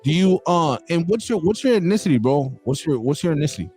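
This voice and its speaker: A man's voice: fairly high pitch, very animated, fast, darkish tone, faint music behind. A man hosting a casual podcast interview, rambling with many restarts and calling his guest bro. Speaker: podcast host.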